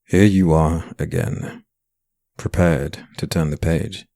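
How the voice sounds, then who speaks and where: An actor playing an ominous storyteller who solemnly introduces dark fantasy horror tales in an audio drama. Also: male voice, a bass voice, steady tone, slow, vocal fry, no echo, full character voice. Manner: solemn, portentous.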